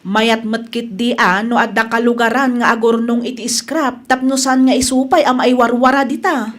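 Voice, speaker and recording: A woman's voice: fairly high pitch, steady tone, normal speed, natural voice. A woman with a Filipino Ilocano accent playing a kind, generous storekeeper wife in a radio comedy-drama. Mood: considerate.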